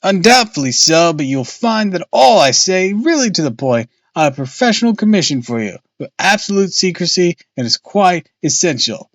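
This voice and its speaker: A man voicing a thin, brisk colonel in a detective story, secretive, suspicious and insistent. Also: sits high, very animated, dry recording.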